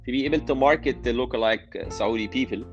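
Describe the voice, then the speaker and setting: A man's voice: mid-range voice, fast, lo-fi sound, soft background music. A man answering interview questions as a startup founder, hesitant and often repeating words as he restarts.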